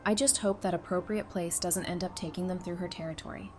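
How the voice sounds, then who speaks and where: Woman acting a wry, lower-voiced young mother. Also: fairly even intonation, brisk, a little mellow, faint music behind, natural voice. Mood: light, wondering. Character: stubborn, affectionate pregnant wife and young mother. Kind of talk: fan audio drama acting.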